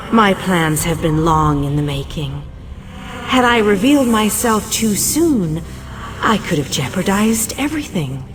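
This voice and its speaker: An actress voicing an imperious, ancient goddess in a fantasy game, haughty, commanding and coldly regal in her delivery. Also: fairly high pitch. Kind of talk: dramatic fantasy game dialogue. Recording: studio voice under prominent music.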